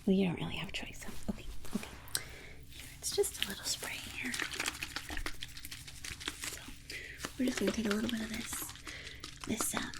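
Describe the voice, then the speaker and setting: A woman playing a polite, condescending alien scientist in an ASMR roleplay, whispering in a soothing, coaxing manner with breathy delivery. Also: medium pitch, natural voice, rough recording. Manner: soothing, coaxing, hesitant asides. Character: alien scientist, polite, reassuring, breezily condescending toward humans.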